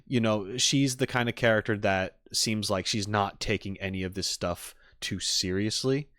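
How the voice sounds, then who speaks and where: A man's voice: fairly low pitch, normal speed, a bit bright, no room sound, clean studio sound. A man hosting a stream, giving casual, enthusiastic and analytical reaction commentary in a rambling way as he talks with chat. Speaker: streamer host.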